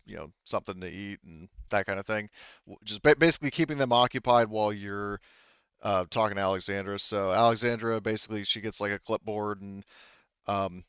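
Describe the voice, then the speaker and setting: A man narrating a tabletop roleplaying game as game master, in halting phrases with frequent self-corrections. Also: on the low side, a bit bright, clean tone, no room sound, muffled recording.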